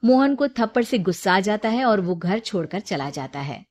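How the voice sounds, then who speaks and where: A woman's voice: medium pitch, big pitch swings, normal speed. A woman storyteller reading a scripted moral tale as narration.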